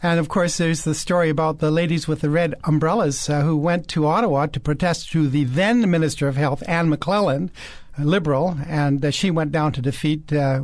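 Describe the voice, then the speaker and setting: A man co-hosting a radio health show, talking opinionatedly with many fillers and pauses. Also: fairly high pitch, a little mellow, no echo, clean studio sound. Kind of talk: casual radio talk. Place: radio studio.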